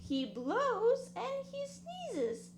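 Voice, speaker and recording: A woman's voice: very high voice, wildly swooping pitch, very slow, slight background noise. A woman reading a children's story aloud in a rhythmic, dramatic sing-song way. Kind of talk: expressive children's read-aloud.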